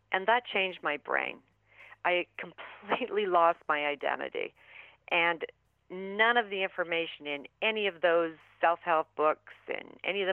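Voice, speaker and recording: A woman's voice: fairly low pitch, no echo. A woman author being interviewed over the phone, speaking earnestly and with emphasis. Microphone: telephone. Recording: tinny phone line.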